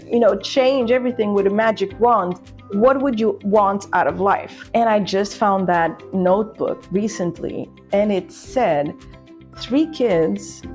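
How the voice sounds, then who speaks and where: A woman in her forties from Portugal tells a candid personal story in fluent second-language English. Her voice sits at a middle pitch, and she acts out bits of dialogue as she goes. Soft music plays underneath. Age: 40s. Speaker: business owner telling their career story.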